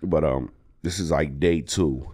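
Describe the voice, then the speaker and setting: A man's voice: a bass voice, measured pace, slightly rough. A man who is a comedian guest, telling exaggerated stories casually with slang and swearing. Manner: profane, slangy, animated joking with exaggeration. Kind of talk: casual podcast storytelling.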